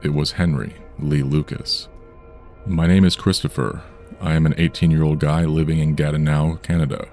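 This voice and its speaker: Very deep-voiced man narrating horror. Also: level tone, darkish tone, faint music behind. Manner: measured, somber storytelling delivery. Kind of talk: scripted true-crime horror narration.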